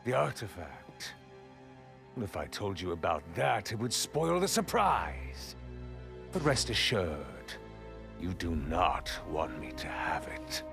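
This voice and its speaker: Creaky, gloating goblin villain. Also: male voice, low voice, very animated, heavily acted. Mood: smug, menacing. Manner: theatrical, mocking, drawn-out delivery. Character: sly, gloating goblin villain taunting his enemy. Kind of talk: video game cutscene acting. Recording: loud music under the voice.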